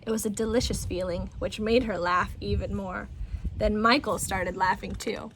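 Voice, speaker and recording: A woman reading a children's story aloud in an expressive storytelling style. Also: medium pitch, moderate pace, a little hiss or hum, poor audio.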